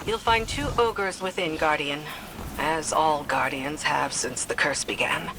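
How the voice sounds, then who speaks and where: A woman's voice: deep voice, measured pace, background noise. An actress playing a stern, bitter warrior in a queen's service in a video game, speaking in a clipped, grave, commanding way. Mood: grim, resentful. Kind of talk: video game dramatic dialogue.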